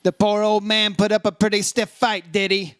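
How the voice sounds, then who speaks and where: Radio detective, male actor: high-pitched, hardboiled and sardonic, firing off clipped wisecracks. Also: flat delivery, moderate pace, fairly bright tone, smooth, dry recording, clean studio sound. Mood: accusing. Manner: sardonic, taunting, clipped phrases. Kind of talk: old-time radio detective drama acting and narration.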